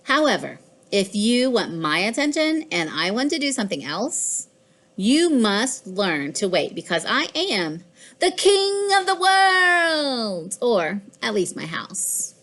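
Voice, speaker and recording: A woman voicing a boastful, fussy pet house cat in a children's storybook, with expressive, deliberate delivery. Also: a little high, exaggerated voice. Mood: imperious. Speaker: author reading own children's book. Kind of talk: scripted children's storybook narration.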